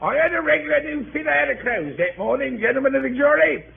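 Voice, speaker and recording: Through tinny, hissy old radio comes a Cockney manservant in the witness box. His voice is very high and swoops about. He is droll, wordy and mock-respectful, never flustered. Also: expressive, fast, vocal fry, heavily acted. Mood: droll, unruffled. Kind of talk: 1930s radio acting. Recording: tinny, noisy 1930s radio broadcast.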